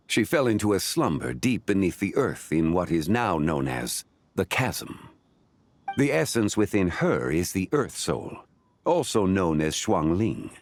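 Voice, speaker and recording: A man playing a calm, learned ancient sage in a fantasy video game, speaking formally and deliberately in measured phrases. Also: no room sound, exaggerated voice. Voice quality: deep, measured. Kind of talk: scripted video game dialogue.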